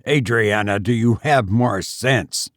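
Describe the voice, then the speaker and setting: A man voicing a stubborn, short-tempered husband and father in a storybook, growling roughly and with emphasis. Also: lowish voice, slow pace, dry recording, clean studio sound. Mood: angry, staunch.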